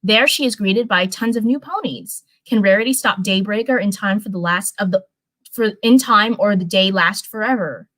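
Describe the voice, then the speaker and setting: A woman's voice: medium pitch, normal speed, fairly bright tone. Young woman reading and chatting casually. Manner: rambling, informal, frequent self-corrections. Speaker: livestream host reading fan fiction. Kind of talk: casual livestream chat and story read-aloud.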